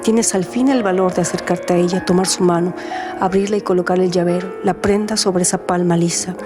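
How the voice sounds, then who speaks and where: A woman's voice: fairly low pitch, steady tone, fairly quick. A woman narrator and radio host reading in a scripted, literary storytelling style, with music behind her voice.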